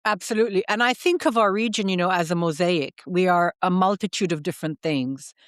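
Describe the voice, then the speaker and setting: A woman hosting her own podcast reflects in an earnest, measured way, pausing on fillers and restarting her sentences. Her voice is smooth and resonant, recorded close and dry with no room sound. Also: lowish voice, no echo. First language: English. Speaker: podcast host.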